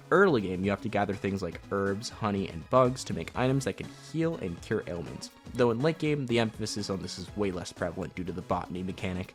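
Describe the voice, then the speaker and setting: A man reading a scripted, comedic video game overview in a sarcastic, profane, irreverent way. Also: lowish voice. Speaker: video creator and narrator. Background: instrumental music.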